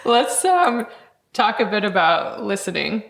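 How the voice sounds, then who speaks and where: Creaky-voiced woman interviewer in an echoey room. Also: mid-range voice, big pitch swings, a little slow. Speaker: interviewer, podcast host. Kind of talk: thoughtful interview questions. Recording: roomy, echoing sound.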